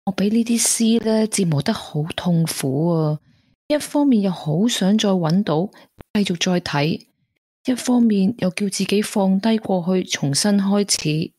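Woman acting guilt-ridden young hong kong girl in a radio play, soft sad type, bit husky, dry close sound. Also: medium pitch, a little slow, no echo. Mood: pained, torn. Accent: Hong Kong Cantonese. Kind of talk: Cantonese radio drama acting.

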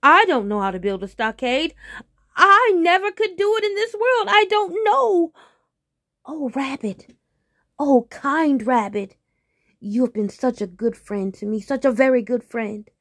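A hungry, easily rattled storybook tiger, acted out by a woman. He begs and wheedles in a high voice, dragging out his words with great drama. The delivery is slow and the sound close and dry. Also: darkish tone, husky, no echo. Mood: panicked pleading. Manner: drawn-out, wheedling, overdramatic pleading. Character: hungry, pleading, easily panicked male tiger. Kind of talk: animated storybook character voice.

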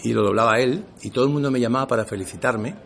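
A man's voice: medium pitch, rapid-fire, gravelly, a little hiss or hum. A middle-aged man from Seville, an actor, talking casually in an interview and acting out the dialogue in his stories. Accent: neutral Castilian Spanish for work, Andalusian at home. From Seville, Spain. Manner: animated storyteller, acts out quoted dialogue.

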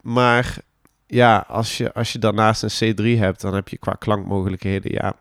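A man's voice: fairly low pitch, steady tone, moderate pace, dry recording, clean studio sound. A man with a Netherlands Dutch accent explaining things casually as an interviewed band musician.

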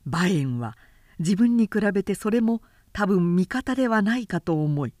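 A woman who works as a narrator reads a literary tale dramatically, in a measured, carefully articulated way. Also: mid-range voice, expressive, a little mellow, dry recording, studio quality. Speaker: professional narrator.

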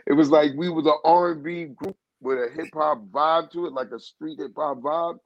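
A man's voice: fairly high pitch, fairly even intonation, moderate pace, dry recording. A man being interviewed as a singer, telling a long casual story with lots of "you know what I'm saying" and restarts. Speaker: guest singer. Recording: dull, dry muffled recording.

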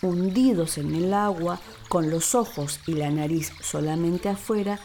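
A woman storyteller narrating for children in an expressive, dramatic way, with deliberate, emphatic phrasing. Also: fairly low pitch, slight background noise. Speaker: actress, storyteller. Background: music and water sound effects.

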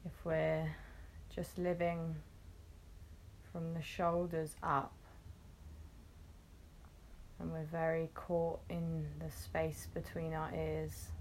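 Slow-speaking woman guiding a meditation. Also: sits low, monotone, a little hiss or hum. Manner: gentle, unhurried, with long pauses between short phrases. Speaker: meditation guide. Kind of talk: guided meditation, soothing instruction.

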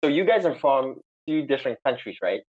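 A man hosts a podcast over a remote call, talking excitedly with lots of ums and restarts. His voice sits at a middle pitch. The sound is a bit muffled and lo-fi. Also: brisk. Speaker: podcast host. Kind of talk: casual chat. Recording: slightly dull lo-fi sound.

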